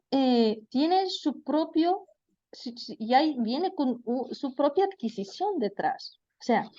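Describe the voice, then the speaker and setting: High-voiced woman lecturing teachers. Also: darkish tone, lo-fi sound. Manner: explanatory, addresses students as vosotros, frequent fillers. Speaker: teacher training instructor. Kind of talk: online lecture.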